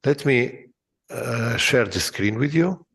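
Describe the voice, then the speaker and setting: A man walks listeners through a software demo, halting often on fillers. He speaks very slowly, and his voice has a gravelly fry. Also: medium pitch, lively intonation. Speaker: presenter. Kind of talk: recorded product walkthrough.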